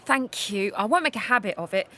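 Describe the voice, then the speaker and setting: A woman's voice: mid-range voice, expressive, fairly quick, pushed and bright. A woman playing a polite, hard-up working customer. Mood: polite, weary.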